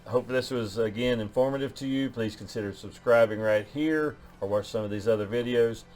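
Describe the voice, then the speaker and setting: A man's voice: mid-range voice, a little fast, a little mellow. A man hosting a genealogy channel, talking through his DNA numbers in a loose way, often restarting phrases. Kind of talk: explaining DNA results on screen.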